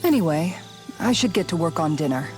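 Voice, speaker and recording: Sensible big-sister knight in a game, gently bossy, lively pitch, music behind. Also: female voice, fairly quick. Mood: gently firm. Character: practical, motherly young woman knight, gently scolding. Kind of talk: English video game cutscene acting.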